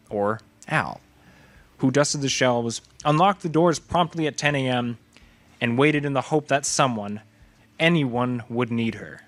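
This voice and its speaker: A man's voice: medium pitch, fairly bright tone, no echo. Male radio theater announcer, dry close sound. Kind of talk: scripted introduction, storytelling delivery.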